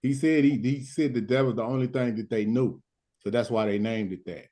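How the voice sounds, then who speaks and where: A man's voice: mid-range voice, brisk, mellow. A man hosting casual group chat on a stream, talkative and laid-back.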